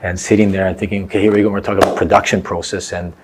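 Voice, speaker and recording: Deep fast-talking Canadian-raised man. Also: level tone, background noise. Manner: chatty, repeats words, laughs often. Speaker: former firm partner, interviewer. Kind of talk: reminiscing conversation.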